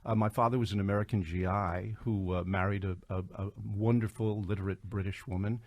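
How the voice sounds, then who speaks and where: An older man, a writer guest on a radio interview, speaking haltingly with many fillers. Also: lowish voice, fairly even intonation, darkish tone, no room sound.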